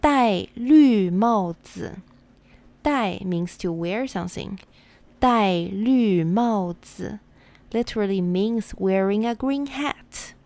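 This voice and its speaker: Young woman, mandarin chinese accent, talks slow n careful, repeats words for learners, dry close sound. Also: medium pitch, animated, darkish tone, no echo. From China. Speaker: language teacher. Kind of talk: scripted explainer lesson.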